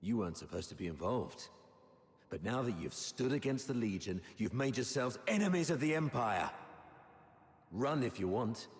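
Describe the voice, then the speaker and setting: A man's voice: mid-range voice, lively intonation, moderate pace, lightly acted. A man playing a sneering, menacing military officer in dramatic game voice acting. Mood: smug, menacing. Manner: mocking, menacing. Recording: echoey hall reverb.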